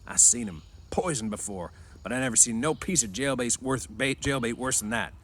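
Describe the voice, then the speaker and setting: A man's voice: medium pitch, moderate pace, a bit bright, pitched above the performer's own voice, natural voice. Bossy, scolding itinerant farm worker performed by a male reader, brusque rural slang, storybook read-aloud. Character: gruff, bossy, protective itinerant ranch hand.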